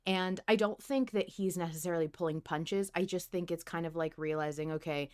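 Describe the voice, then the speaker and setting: A woman's voice: on the low side, fairly even intonation, a little fast, clear, resonant tone. A woman hosting a podcast, chatting casually and thinking aloud with a playful, conversational manner. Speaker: podcast host. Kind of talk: casual fan discussion chat.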